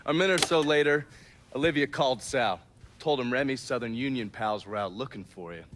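A man's voice: medium pitch, fairly quick, fairly bright tone, a little hiss or hum, lightly acted. An actor playing a wry, laid-back middle-aged intelligence man in a video game, casual and sardonic. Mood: businesslike. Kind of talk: conversational video game acting.

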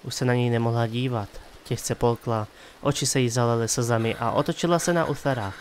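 A man's voice: medium pitch, slight background noise. A man giving scripted dramatic narration of a fantasy story as the narrator of an audio drama. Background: music.

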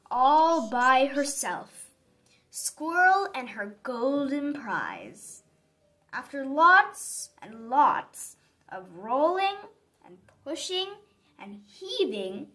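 A woman reading a children's story aloud in an expressive, dramatic manner for young listeners. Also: high voice, takes it slowly. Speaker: storybook reader. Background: background music.

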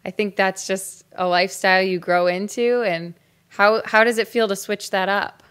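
A woman's voice: medium pitch, fairly even intonation, normal speed, a bit bright, clean tone. A young woman in her twenties hosting a live-streamed music chat show, bubbly and upbeat, talking into a studio mic on a stand in a small rustic studio set. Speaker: host and singer.

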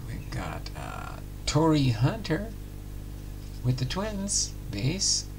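A middle-aged man works through packs of trading cards at a home desk. He talks slowly and announces each card plainly, his voice rough with gravel. His pitch bounces around freely, and a steady noise runs under the recording. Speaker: card-breaking channel host. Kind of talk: casual pack-opening commentary. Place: home room with card displays.

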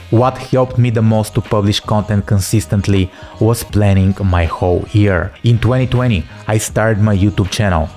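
Low-voiced man with a Bulgarian accent. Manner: steady, earnest, instructive delivery. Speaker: content creator. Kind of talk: scripted talking-head advice. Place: living room at home. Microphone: large studio mic on a stand. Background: soft background music.

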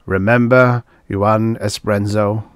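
Man acting a hard, low-voiced show producer. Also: fairly even intonation, unhurried, clear, resonant tone, dry recording, studio quality, natural voice. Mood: harsh, ominous. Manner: harsh, solemn, ominous.

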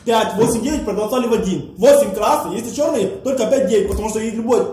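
A man's voice: high-pitched, quick pace, mellow. A man arguing heatedly at a mafia game table, scolding the other players. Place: room with a round table, indoors. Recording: echoey room with faint hiss.

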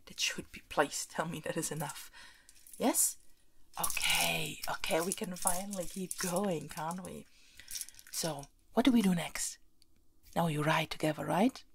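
A woman playing a haughty, bossy but playful young princess in an ASMR roleplay, soft and breathy, often slipping into whispers. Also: lowish voice, noisy background. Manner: imperious, teasing, repeats phrases insistently.

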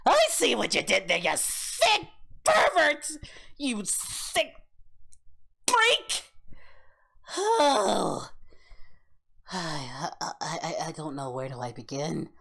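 A man voicing an excitable, distraught cartoon martial-arts hero as a reaction host, melodramatic, exclamatory and given to repeating himself. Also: high-pitched, takes it slowly, creaky, pauses often, full character voice. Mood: appalled disbelief. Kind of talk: comic in-character reaction commentary.

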